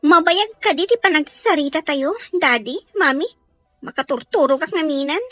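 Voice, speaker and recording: Woman acting a very high-pitched whiny teenage girl. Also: animated, moderate pace, pushed and bright, dry recording, soft background music. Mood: defiant, sulky. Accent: Ilocano Filipino. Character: petulant, anxious high-school daughter, whiny and defiant. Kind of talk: Ilocano audio drama acting.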